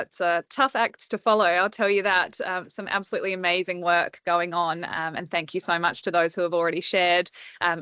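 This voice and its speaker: A woman presenting over a video call with a low, dry, close voice. She talks in a chatty, informal way, full of fillers and restarts. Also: sharp tone, clear, resonant tone, dry recording. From Australia. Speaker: early childhood educator. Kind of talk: webinar presentation.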